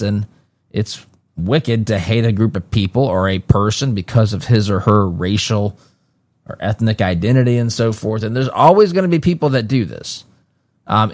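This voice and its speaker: A man hosting a solo commentary show, reading from an article and breaking in with his own asides in a measured way, with fillers and restarts. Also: on the low side, no echo.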